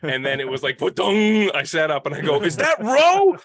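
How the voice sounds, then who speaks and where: A man talking casually and opinionatedly on a podcast panel, rambling into asides and animated retellings. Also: a little high, moderate pace, crowd noise.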